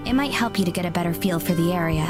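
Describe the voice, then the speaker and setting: A woman voicing an odd, unbothered young woman who likes being alone in the dark, spoken flatly and matter-of-factly. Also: lowish voice, racing, natural voice. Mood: calm. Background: background music.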